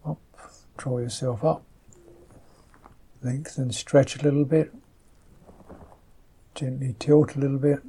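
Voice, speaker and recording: A man teaching a guided meditation, speaking softly and gently in unhurried, halting phrases, with a slightly husky voice. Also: mid-range voice, fairly even intonation.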